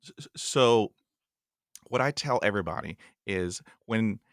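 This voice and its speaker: A man's voice: fairly low pitch, a little slow, fairly bright tone, dry recording, clean studio sound. A man in his forties hosting a podcast interview, talking earnestly with a stutter and repeating himself for emphasis. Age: 40s. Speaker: podcast host.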